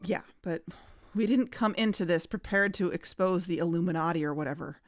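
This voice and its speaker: A woman in scripted audio drama dialogue, earnest and worried, sometimes rushing her words. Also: fairly low pitch, dry recording, clean studio sound. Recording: music bed underneath.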